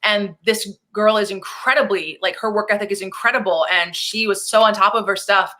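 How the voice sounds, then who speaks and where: A woman in her thirties with a bright, ringing voice talks casually as an interview guest. She is upbeat and chatty, laughs easily and fills her pauses with ums. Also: medium pitch, fairly even intonation. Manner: chatty, upbeat, laughs often, many fillers. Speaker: actor and singer, interview guest.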